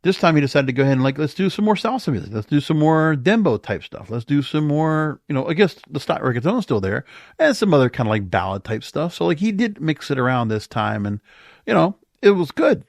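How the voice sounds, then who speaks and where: A man's voice: fairly high pitch, very animated, fairly quick, creaky, no echo, studio quality. A man hosting a podcast alone in a casual, conversational, rambling way, as if thinking aloud. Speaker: podcast host. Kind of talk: casual solo monologue. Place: home or small studio.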